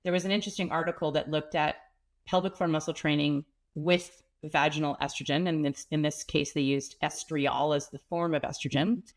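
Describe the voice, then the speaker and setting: A woman with a cold and a slightly stuffy, nasal voice, a pelvic health expert calmly explaining things as an interview guest. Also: low voice, fairly quick. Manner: earnest, explanatory, some restarts. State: has a cold, sniffling.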